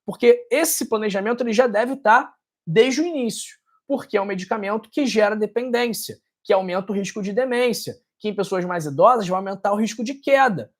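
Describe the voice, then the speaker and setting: A man's voice: high voice, brisk. A man with a Carioca accent from Rio de Janeiro, a doctor talking to camera in a direct, scolding way, ending many sentences with a tag question. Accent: Carioca Brazilian Portuguese. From Rio de Janeiro, Brazil. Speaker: doctor and health channel host. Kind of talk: casual talk to camera, answering viewer comments.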